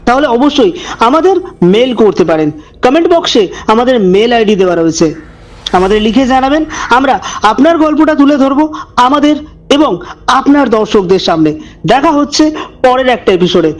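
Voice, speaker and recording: A man narrating a scripted horror story as host, with deliberate dramatic phrasing and suspenseful pauses. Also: very high voice.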